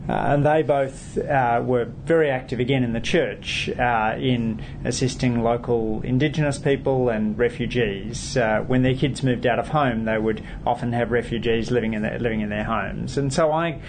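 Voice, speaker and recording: A man, a politician answering interview questions in a measured way with frequent hesitant fillers. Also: medium pitch, steady tone, noisy background.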